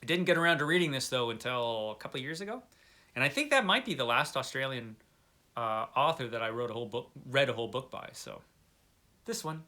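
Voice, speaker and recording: Bright-voiced, playful man chatting about books. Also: medium pitch, lively intonation, fairly quick. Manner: playful, tongue-in-cheek, emphatic declarations. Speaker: book vlogger, host of the channel. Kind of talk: casual chat to camera, answering book tag questions.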